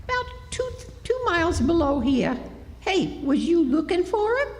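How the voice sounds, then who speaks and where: Woman as rustic youngster, radio play, slow drawl, swooping pitch, echoey room, faint noise. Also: sing-song, heavily acted. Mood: nosy, offhand. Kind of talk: stage radio drama acting. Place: live stage in a hall.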